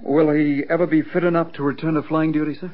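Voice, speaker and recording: A man's voice: fairly high pitch, level tone, fast, natural voice. A man playing an anxious, earnest military pilot in a vintage radio drama, speaking insistently. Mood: worried, pressing. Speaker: actor. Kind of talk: mid-century radio drama acting. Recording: dull lo-fi vintage radio recording.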